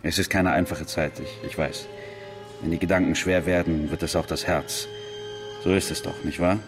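Music plays clearly behind a deep male voice, playing a fatherly imam. He speaks gently and with measured, consoling calm, as in a radio play. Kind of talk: radio drama acting.

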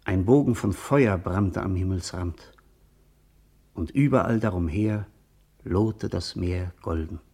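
A man narrating a lyrical literary radio play in a contemplative manner, with a husky voice. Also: deep voice, slow pace, dark tone, heavily acted.

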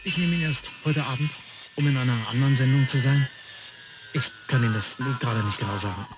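A man's voice: mid-range voice, fast talker, over music, lightly acted. A man acting a musing horror author answering as a talk show guest in a radio drama. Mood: bemused. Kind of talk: musing studio interview answers. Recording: loud noise and clicks behind.

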